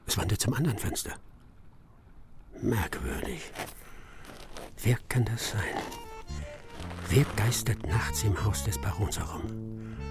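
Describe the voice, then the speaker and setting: An actor playing a brusque, sharp-witted English master detective in a radio play, muttering to himself and testy with his assistant. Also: fairly low pitch, slow pace, pauses often, music in the background. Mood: hushed, wary.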